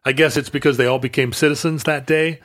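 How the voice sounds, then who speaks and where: A man's voice: medium pitch, steady tone, fast, a bit bright. Dry-humored man co-hosting a chat. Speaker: podcast co-host. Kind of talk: casual chat with mock-formal outro.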